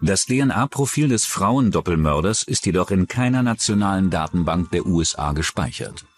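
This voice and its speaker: Male true-crime documentary narrator, deep register, animated delivery, scripted read. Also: moderate pace, soft background music.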